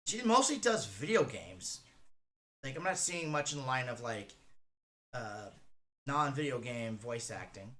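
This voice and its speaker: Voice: mid-range voice, very animated, measured pace, pauses often, fairly bright tone. A streamer giving casual reaction commentary, rambling and thinking aloud with a fan's enthusiasm.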